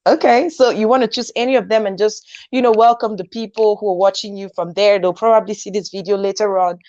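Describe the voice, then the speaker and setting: A woman's voice: mid-range voice, steady tone, fairly quick, a little mellow, dry recording, muffled recording. A woman hosting a live online talk show, reading viewer comments aloud and encouraging her guest, laughing often.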